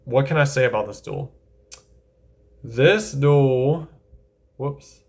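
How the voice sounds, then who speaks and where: A man's voice: medium pitch, slow pace, vocal fry. A man giving casual, enthusiastic tier-list commentary, talking things through aloud and correcting himself as he goes. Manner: chatty, enthusiastic, thinks aloud with self-corrections. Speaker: anime tier-list creator.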